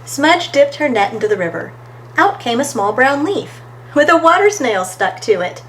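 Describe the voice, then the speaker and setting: A woman reading a children's story aloud as an expressive storytime narrator, recorded with faint background noise. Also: high-pitched, normal speed, poor audio. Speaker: storytime reader.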